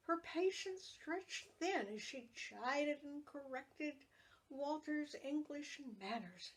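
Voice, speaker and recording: Slow, breathy woman storyteller. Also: fairly high pitch, low-quality recording. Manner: slow, measured, gentle narration. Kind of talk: storytelling, reading aloud.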